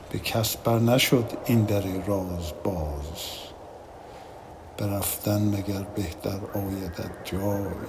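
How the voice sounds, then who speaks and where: A man reciting classical verse in a poetic style, in a very noisy recording. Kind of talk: recitation of classical Persian poetry. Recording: clearly noisy, loud background rumble.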